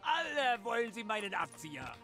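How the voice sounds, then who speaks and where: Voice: medium pitch, sing-song, moderate pace, bright, forceful tone, faint music behind. An actor playing a grumbling, put-upon civilian in a superhero video game, complaining theatrically. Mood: grudging thanks. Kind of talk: animated video game voice acting.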